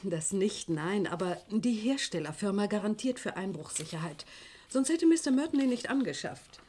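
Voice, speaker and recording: An actress playing a proper, efficient and discreet chief bookkeeper in a 1970s radio crime drama. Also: female voice, medium pitch, expressive, normal speed, warm tone, a little hiss or hum, natural voice. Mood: firm, correct.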